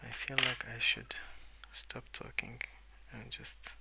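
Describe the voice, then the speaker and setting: A man speaking in a soft, hushed ASMR ramble, drifting between murmur and whisper in a hesitant, unhurried way. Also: medium pitch, flat delivery, gravelly. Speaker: host of an ASMR colouring channel. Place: indoors at a wooden desk.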